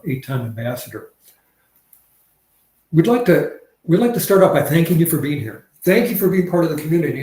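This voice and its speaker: A man from Tennessee giving a webinar presentation over a video call, measured, with many fillers and restarts. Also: mid-range voice, a little mellow, roomy sound, poor audio.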